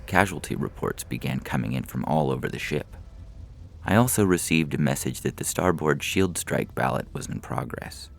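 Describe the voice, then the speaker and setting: A man's voice: extremely low pitch, expressive, moderate pace, vocal fry, slight background noise. A man reading comic science fiction stories as a scripted narrator and announcer. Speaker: story reader and announcer.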